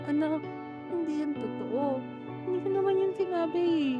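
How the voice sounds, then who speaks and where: A woman's voice: sits high, moderate pace, highs cut off, music in the background, lightly acted. A woman with a Filipino accent playing a defensive, long-suffering middle-aged mother in an amateur radio drama, plaintive and self-justifying. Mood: pleading.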